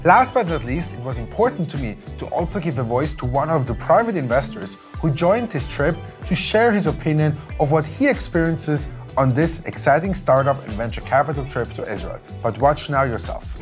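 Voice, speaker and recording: An upbeat man narrates his vlog in a promotional tone. Music plays clearly behind his voice. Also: a little high, animated, normal speed, few pauses. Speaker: vlog host.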